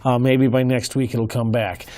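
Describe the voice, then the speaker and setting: Hoarse man hosting a casual show. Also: medium pitch, little pitch movement, dark tone. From Minnesota, US. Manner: earnest, folksy, halting with fillers. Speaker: host. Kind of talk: casual commentary.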